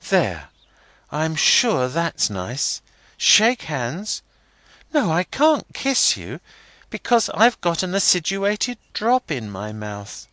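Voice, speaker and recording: A man voicing a playful, pouting young woman in a high, sing-song girlish voice. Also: wildly swooping pitch, slow pace, fairly bright tone, no echo, exaggerated voice. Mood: playful, teasing.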